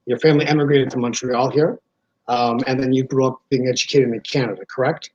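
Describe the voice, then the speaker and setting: A man hosting a conversational interview, earnest, working toward his questions in halting, rambling lead-ins. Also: mid-range voice, steady tone, a little mellow. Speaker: interview host. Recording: dull, lo-fi audio.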